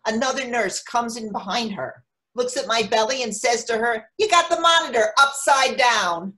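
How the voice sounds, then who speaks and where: A woman's voice: fairly high pitch, normal speed. A woman playing a wry, exasperated mother in labor, telling her story in a staged monologue reading. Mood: urgent agony. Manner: animated storytelling, quoting others. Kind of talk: dramatic monologue reading for readers theatre. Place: remote reading over video call. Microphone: video call.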